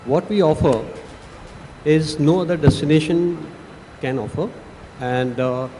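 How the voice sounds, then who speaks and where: A man with an Indian English accent giving halting panel remarks full of fillers and restarts. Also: a little high. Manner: hesitant, many fillers and restarts. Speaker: panelist, film city executive. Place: large conference hall. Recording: echoey hall, faint noise.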